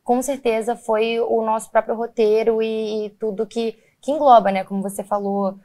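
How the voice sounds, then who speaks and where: A woman's voice: fairly high pitch, fairly even intonation, moderate pace, warm tone. A woman from Rio with a Carioca accent, chatting casually as an actress in an interview, tossing in lots of little fillers. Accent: Carioca Brazilian Portuguese. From Rio de Janeiro, Brazil. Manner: chatty, many fillers like "né" and "assim".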